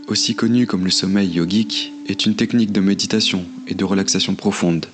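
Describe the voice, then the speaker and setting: Male meditation narrator, deep and flat-toned, soothing and measured, clear music bed behind. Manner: calm, soothing, measured delivery with long pauses. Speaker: guided meditation instructor. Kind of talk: slow guided meditation narration.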